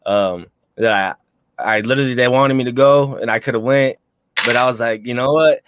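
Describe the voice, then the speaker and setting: A man's voice: mid-range voice, a little fast, background noise. A young man in his early twenties, a guest rapper, talking in a laid-back, slangy way during a casual interview. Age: 20s.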